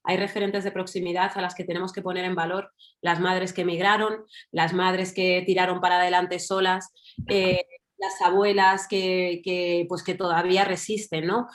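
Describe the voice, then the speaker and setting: A woman with a Madrid accent, speaking in a slightly low voice. She speaks as a panelist on a video call, working through her thoughts aloud with small fillers and asides. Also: level tone, normal speed. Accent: Madrid Spanish. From Spain. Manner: reflective, thinking aloud with fillers and tag questions. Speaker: panelist writer. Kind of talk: online panel talk.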